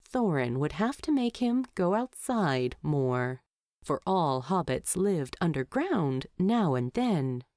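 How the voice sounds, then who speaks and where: A woman's voice: deep voice, big pitch swings, takes it slowly, darkish tone, dry recording, studio quality. A woman narrating a scripted story as an audiobook-style reader.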